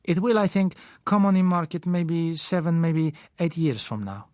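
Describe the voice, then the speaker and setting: A male narrator performs a suave South businessman for an audiobook. His pitch sits raised, his phrasing is measured and courteous, and he slips in soft pauses as he insists. The sound is dry. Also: expressive, dry recording, close to an everyday voice. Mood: smooth, knowing. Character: suave, sly South American businessman, polite and gently insistent. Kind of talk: audiobook character voice.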